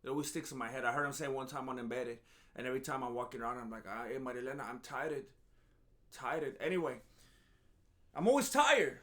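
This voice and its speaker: A man's voice: mid-range voice, lively intonation, fast, fairly bright tone. A man rambling through a casual, joking commentary monologue, sarcastic and self-mocking, with frequent restarts. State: groggy after a nap. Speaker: MMA commentary vlogger.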